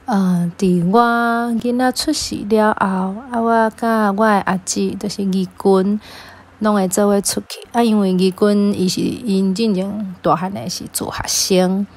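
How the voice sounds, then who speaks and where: A woman's voice: medium pitch, steady tone, measured pace, a little mellow. A woman with a Taiwanese accent, talking casually as a parent being interviewed about personal experience.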